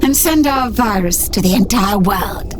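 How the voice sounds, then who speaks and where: A woman voicing a sinister, imperious alien insect in an audiobook, with slow, grandiose, gloating delivery. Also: mid-range voice, lively intonation, background noise, lightly acted. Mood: commanding.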